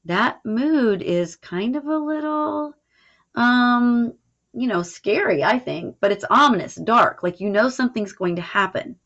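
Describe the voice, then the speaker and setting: A woman's voice: a little high, lively intonation, unhurried, fairly bright tone. A woman teacher explaining a recorded lesson in an informal way, checking in as she goes. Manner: informal, explanatory, frequent "all right" check-ins. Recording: dull, lo-fi audio.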